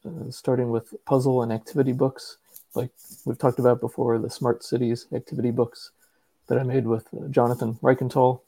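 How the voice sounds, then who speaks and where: A man's voice: mid-range voice, gravelly, warm tone, no room sound, poor audio. A man giving earnest, explanatory answers as a guest author in a conversational interview. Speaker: guest, children's book author.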